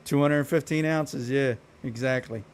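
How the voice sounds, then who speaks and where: A man's voice: medium pitch, unhurried, smooth. Blunt, profane Philly man rambling. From Philadelphia. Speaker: live streamer. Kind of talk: casual storytelling chat to stream viewers.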